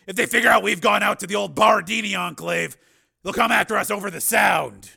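A man voicing an intense, agitated action hero in an audiobook, speaking loudly and emphatically with a strained delivery. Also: high-pitched, a little fast, gravelly, husky, pitched above the performer's own voice, exaggerated voice. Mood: tense.

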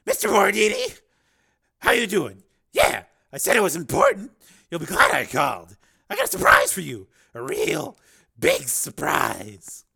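A man's voice: fairly high pitch, huge pitch swings, a little slow, gravelly, sharp tone, slightly rough, pitched above the performer's own voice, heavily acted. A man voicing a vicious, derisive pimp in a dramatic story reading, with a snarling, hissing delivery. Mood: slick, pleased. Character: vicious, sneering male pimp Rico, pretty and flamboyant.

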